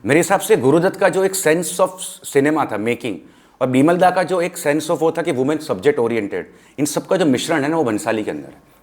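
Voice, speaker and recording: An Indian man with a fairly high-pitched voice talks in a casual discussion. He is opinionated and stresses his points, slipping English phrases into his speech. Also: expressive, normal speed, a little mellow. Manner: opinionated, emphatic, mixes English phrases into Hindi. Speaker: film discussion participant. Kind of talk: animated film discussion, casual chat.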